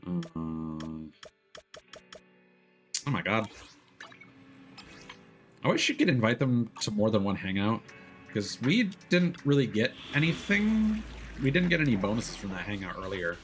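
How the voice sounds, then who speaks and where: Low-voiced man chatting through a game stream. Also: very animated, a little slow, long pauses. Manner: musing aloud, conversational. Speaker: live streamer playing a video game. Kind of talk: casual livestream game commentary. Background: music behind the voice.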